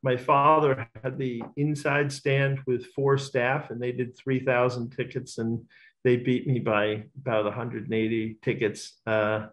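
A man's voice: medium pitch, little pitch movement, a little slow, low-quality recording. Middle aged aussie guy chatting in an interview, confident storyteller, bookie type. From Australia. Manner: talkative, self-assured storyteller. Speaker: racecourse bookmaker. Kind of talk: casual interview answers.

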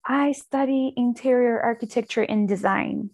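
Woman with gravelly voice. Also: a little high, normal speed, dry recording. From Russia. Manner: relaxed, with fillers and light laughs. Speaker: interview guest. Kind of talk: casual interview chat.

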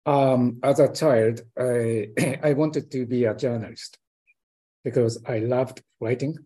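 An older man with a Japanese accent answers questions slowly, stopping often to search for words. His voice is husky and dark. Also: mid-range voice. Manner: hesitant, many fillers and restarts, clears throat. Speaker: guest professor. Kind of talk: interview answers.

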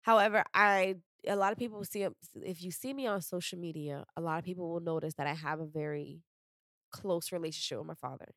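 Young woman host talking real candid on a podcast, lowish voice, dry close mic. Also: a little fast, fairly bright tone, dry recording. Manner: candid, emphatic, repeats phrases for stress. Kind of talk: casual podcast chat.

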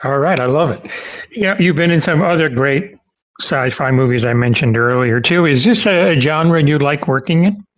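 A man's voice: fairly high pitch, animated, normal speed. A chatty, enthusiastic middle-aged or older man interviewing a guest in a casual way.

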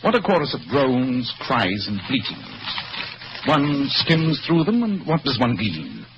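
A man playing a brilliant, sardonic English gentleman detective in a radio drama, speaking precisely with dry superiority and an English accent. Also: mid-range voice, wildly swooping pitch, takes it slowly, exaggerated voice. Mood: bored, disdainful. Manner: precise, theatrical, dryly superior. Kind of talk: old-time radio drama acting. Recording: dull, noisy old radio recording.

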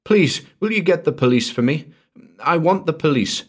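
A man voicing a frightened woman alone in a snowbound house, pleading in a high, breathless voice. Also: animated, normal speed, husky. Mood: panicked.

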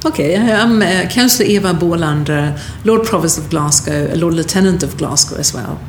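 A woman's voice: on the low side, a little fast. A middle-aged woman with a Swedish accent, talking casually as an interview guest, thoughtful and hesitant with many fillers. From Sweden. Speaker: guest, Lord Provost. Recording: echoey room, faint background noise.

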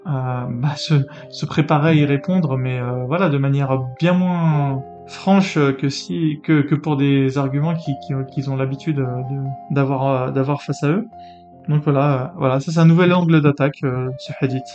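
A man's voice: medium pitch, steady tone, moderate pace, a little mellow, dull audio, soft background music. A man hosting a podcast interview, talking hesitantly with many fillers and restarts. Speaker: podcast host. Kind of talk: casual interview.

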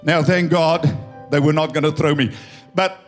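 A man's voice: on the high side, fairly even intonation, moderate pace, faint music behind. A South African man in his fifties, a pastor preaching an emphatic, story-driven sermon with a tired voice. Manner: emphatic, storytelling, swelling to loud proclamation. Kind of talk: impassioned sermon mixing English and Afrikaans. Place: church.

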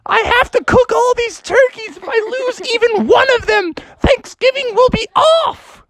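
A man's voice: extremely high pitch, measured pace, a bit bright, dry recording, pitched above the performer's own voice, full character voice. A man voicing an exasperated frog mother with a scolding, emphatic manner.

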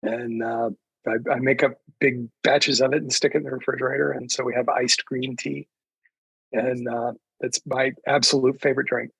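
A man speaking as an author guest in a casual chat, rambling haltingly as he searches for words. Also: medium pitch, flat delivery, vocal fry, fairly bright tone, slightly rough, dry recording, low-quality recording.

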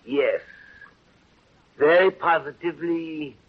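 A self-important Romanian doctor of psychiatry in a 1930s radio play. His voice is husky and a little high, his delivery pompous and deliberate. The recording is dull. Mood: pompous, certain.

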